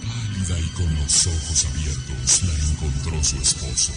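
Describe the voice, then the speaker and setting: A man with a Mexican accent narrating a horror radio drama in a dramatic, suspenseful way. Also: extremely low pitch. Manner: slow, dramatic, suspenseful delivery. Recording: music loud behind the voice. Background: loud horror drama music.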